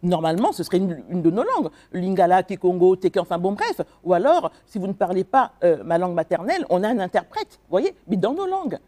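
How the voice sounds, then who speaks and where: A woman's voice: lowish voice, big pitch swings, fast talker, few pauses, mellow. A woman from the Republic of the Congo, speaking as an author and guest in a radio interview, earnest and emphatic, with frequent hesitations.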